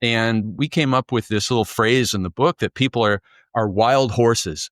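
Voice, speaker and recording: A man's voice: mid-range voice, normal speed, no room sound, clean studio sound. A man from Canada, a guest author, answering interview questions in a fluent, upbeat, storytelling way. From Canada, near Toronto.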